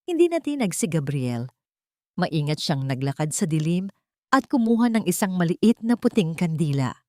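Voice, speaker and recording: A woman's voice: fairly low pitch, a little mellow, dry recording, clean studio sound. A woman with a Filipino accent narrating a dramatic scripted story as a storyteller.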